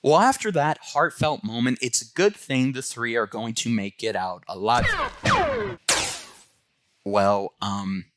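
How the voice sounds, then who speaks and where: A man's voice: mid-range voice, a little slow, a bit bright, no echo, soft background music. A man hosting a comic book review, giving enthusiastic, semi-scripted commentary.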